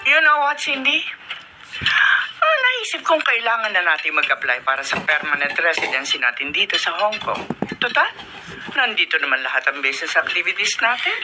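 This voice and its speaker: An actress with a Filipino accent playing a domineering, money-minded middle-aged mother in a radio drama, brisk and insistent. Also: lowish voice, big pitch swings, loud music behind the voice. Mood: businesslike, persuasive. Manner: brisk, insistent, code-switching into English. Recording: noisy, clicky radio drama recording.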